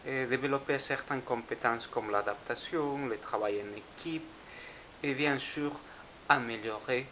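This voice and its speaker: A young international student is interviewed for a documentary. He speaks slowly and deliberately, with frequent pauses. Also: mid-range voice, pushed and bright, slight background noise, low-quality recording.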